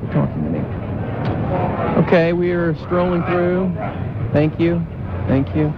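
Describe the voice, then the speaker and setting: Brash man with a high-ish voice interviewing people. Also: fairly even intonation, measured pace. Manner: teasing, blunt, provocative questions. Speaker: radio show host doing street interviews. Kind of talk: brash man-on-the-street banter. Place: outdoors at a busy arena. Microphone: wired handheld mic. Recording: dull lo-fi sound with crowd noise.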